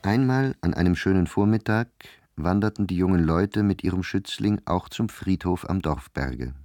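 A man narrating a literary radio drama in measured, formal storytelling. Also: sits low, darkish tone.

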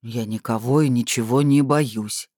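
A woman reader voicing a mighty, irascible male being with a rumbling, grumbling bass in a storybook. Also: unhurried, a little mellow, dry recording, studio quality. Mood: displeased. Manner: grumbling, brooding.

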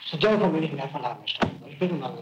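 A man's voice: fairly high pitch, expressive, racing. A man being questioned by a prosecutor, talking in plain colloquial speech, defensive yet deferential, heard on an old archival tape. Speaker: suspect under interrogation. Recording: echoey muffled old tape with faint hiss.

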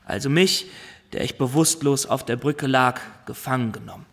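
An actor playing a sober man who recounts his ordeal in measured, formal radio drama narration. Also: mid-range voice, a bit bright. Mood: sober, reflective.

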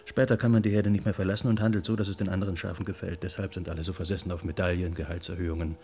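Brisk, low-voiced investigator. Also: male voice, level tone, dark tone, a little hiss or hum. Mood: cynical. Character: probing male investigator, cynical and matter-of-fact. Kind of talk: radio drama dialogue.

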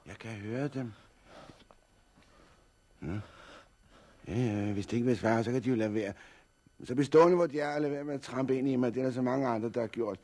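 A man acting in a 1960s radio drama as a proud, crusty blind war veteran, gruff and sardonic. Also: mid-range voice, pauses often, mellow, close to an everyday voice. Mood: irritated, sardonic.

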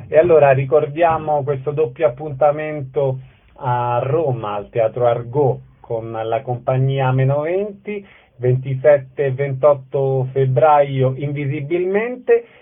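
A man's voice: medium pitch, takes it slowly. A man hosting a radio show, interviewing guests and announcing theatre dates. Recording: narrow, phone-like radio sound.

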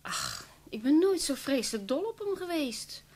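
Radio drama: frank, casual woman, fairly high-pitched, chatty and unbothered by delicate matters. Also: big pitch swings, normal speed, darkish tone. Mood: breezy, frank. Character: blunt, breezy woman, mistress of an older man. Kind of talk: naturalistic Dutch radio drama.

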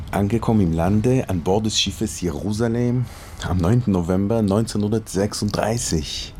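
A middle-aged man from Israel speaking in a halting, conversational way, as in a documentary dialogue. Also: fairly low pitch, dark tone, slight background noise. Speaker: radio producer searching for relatives.

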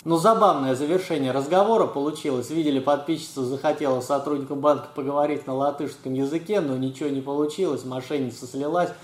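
Brisk male host in a roomy space. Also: fairly high pitch. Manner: brisk, casual address to viewers. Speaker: channel host. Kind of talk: video commentary. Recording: roomy echo.

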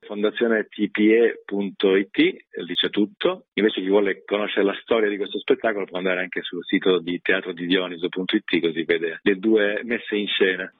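A man speaks over a narrow, tinny line with a dry sound. His voice is lowish and husky, in the conversational style of a theatre director giving an interview. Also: moderate pace, hardly any pauses, no echo.

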